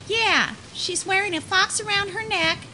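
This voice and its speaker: Woman acting a very high-pitched, perky assistant. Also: a little slow, pushed and bright, full character voice, low-quality recording. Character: perky, wisecracking female office assistant. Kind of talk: radio play acting. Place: live performance on a cruise ship. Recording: live stage recording, faint noise.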